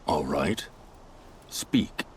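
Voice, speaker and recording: An actor playing a weary older soldier in a video game, sombre and haunted. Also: male voice, rumbling low, expressive, extremely slow, a little mellow, a little hiss or hum, heavily acted. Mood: grave, regretful.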